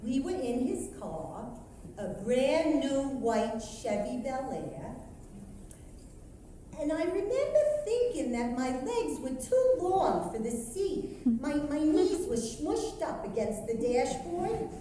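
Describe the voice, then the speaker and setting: A woman plays an old lady in her seventies on stage, easily scandalized and reluctant to confide. Her voice is high and swings widely, with very slow, halting delivery. It rings through an echoey, noisy hall. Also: very animated, mellow, recorded from a distance, exaggerated voice. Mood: wistful reminiscing. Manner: halting, scandalized, emphatic, with asides. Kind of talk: theatrical stage monologue. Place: live stage performance at a university.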